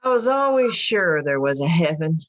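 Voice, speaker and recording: A woman's voice: fairly low pitch, sing-song. Guilt-stricken imprisoned mother, muffled audio. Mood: bleak, lost. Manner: anguished, confessional, halting. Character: guilt-ridden imprisoned mother who killed her daughters. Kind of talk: radio drama acting.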